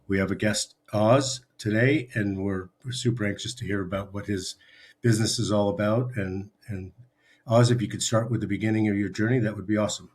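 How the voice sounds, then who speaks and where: A male podcast host with a slightly husky, fairly low voice. He speaks in a friendly, effusive way, thanking his listeners and inviting his guest to talk. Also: fairly even intonation, a little fast, darkish tone. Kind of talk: interview podcast hosting.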